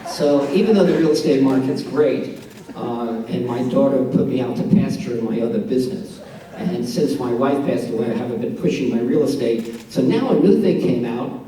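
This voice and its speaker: A man's voice: medium pitch, normal speed, mellow. A man in his sixties with a New York accent, doing a self-mocking stand-up set with storytelling punchlines at a benefit event. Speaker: amateur stand-up comedian. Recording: echoey room, distant mic, audience. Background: audience laughter.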